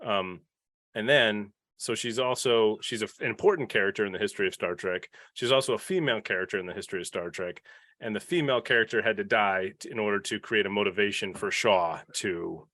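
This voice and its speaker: A man talking casually and opinionatedly on a podcast panel, rambling into asides and animated retellings. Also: on the low side, brisk, dry recording.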